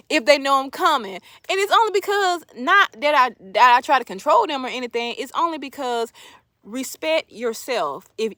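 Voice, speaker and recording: A woman's voice: fairly high pitch, sharp tone, no room sound. A woman in her late thirties talking casually to her viewers, sharing opinions emphatically and repeating phrases for emphasis. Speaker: livestream host.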